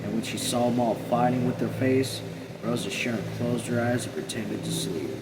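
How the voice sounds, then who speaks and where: A man narrating a novel aloud in a measured, plain manner with occasional stumbles. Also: medium pitch. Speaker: read-along narrator. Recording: loud steady air or car noise.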